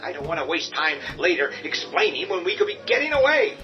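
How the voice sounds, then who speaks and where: An actor playing a cowardly, whining middle-aged husband in a radio drama, sarcastic and panicky. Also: male voice, fairly high pitch, very animated, fairly quick. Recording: dull lo-fi audio with music.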